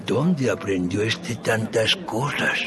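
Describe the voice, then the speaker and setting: An actor playing a skeptical villager in a dramatized Bible recording. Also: male voice, on the low side, slow, over music. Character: skeptical villager doubting a local carpenter. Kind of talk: dramatized Bible audio acting. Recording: clear background noise.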